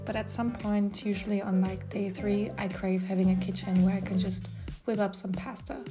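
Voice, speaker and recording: A woman's voice: medium pitch, normal speed. Young woman narrating over music. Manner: relaxed, chatty narration. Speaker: vlogger, consultant.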